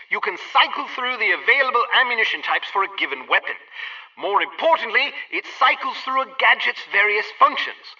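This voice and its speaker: A man's voice: sits high, distant mic, muffled recording. A man playing a prim, helpful gadget instructor in a spy game, giving formal and precise scripted tutorial instructions. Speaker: training instructor.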